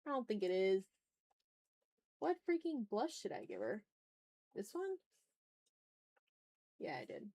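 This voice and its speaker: A woman's voice: medium pitch, huge pitch swings, a little slow, long pauses, dark tone, no echo. A woman livestreaming as she draws, chatting casually in slang and muttering to herself with playfully dramatic, exasperated asides. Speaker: art livestreamer, host.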